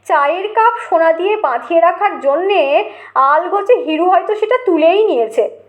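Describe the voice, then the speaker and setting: চড়া গলার মহিলা গল্পপাঠিকা. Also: female voice, very high-pitched, lively intonation, normal speed. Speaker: storyteller. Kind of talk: dramatic comic audiobook narration.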